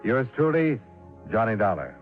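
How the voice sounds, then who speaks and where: An actor playing a wry, tough American insurance investigator in 1950s radio drama, narrating in a dry, clipped, sardonic way. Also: male voice, lowish voice, very animated, moderate pace, loud music behind the voice. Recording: muffled old radio recording.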